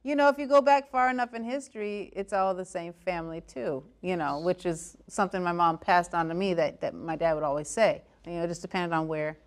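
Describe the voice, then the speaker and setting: A woman's voice: lowish voice, a little fast, hardly any pauses. A woman who is a guest, speaking reflectively in a casual panel conversation with many "you know"s.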